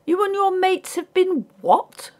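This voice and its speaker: A woman voicing a proud, easily irritated educated young gentleman in a storybook, with lofty, ironic, formal diction. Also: fairly high pitch, big pitch swings, normal speed, voice pushed up. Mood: indignant. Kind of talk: audiobook character voice.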